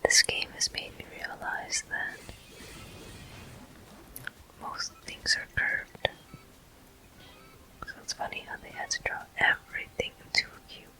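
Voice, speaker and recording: A person whispering ASMR game commentary as a walkthrough host, in slow, unhurried phrases with pauses, close to the microphone. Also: bright, forceful tone, low-quality recording.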